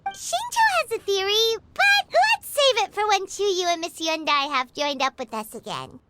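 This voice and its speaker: A woman voicing a tiny, excitable floating girl companion who talks in bubbly exclamations, in a game cutscene. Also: background noise. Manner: bubbly, exclamatory, fast swings in pitch. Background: game background music.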